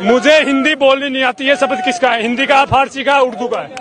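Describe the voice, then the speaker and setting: A man's voice: extremely high pitch, fairly even intonation, fairly quick. A man in a heated street argument, firing pointed questions outdoors at night. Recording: crowd noise behind.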